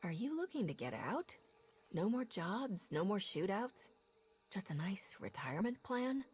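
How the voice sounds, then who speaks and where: A cocky smuggler captain. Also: female voice, medium pitch, very animated, a little slow, darkish tone, breathy edge, soft background music, exaggerated voice. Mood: wry. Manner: wry, self-assured banter. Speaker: player character.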